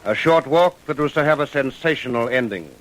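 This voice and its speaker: A man narrating a true-crime radio drama in a measured, solemn, suspenseful scripted style. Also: mid-range voice, expressive, low-quality recording. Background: music.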